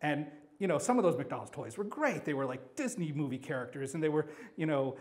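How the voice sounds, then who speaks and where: A man telling a comic personal anecdote live at a storytelling event, rambling and animated, acting out bits of dialogue. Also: fairly high pitch, fairly quick.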